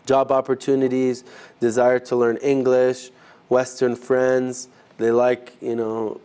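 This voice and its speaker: Male ministry trainer, mid-pitched, informal classroom delivery, halting and conversational. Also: monotone, dark tone, clean tone. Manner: conversational, halting, rephrasing as he goes. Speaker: ministry trainer teaching a group. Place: live session with a group present.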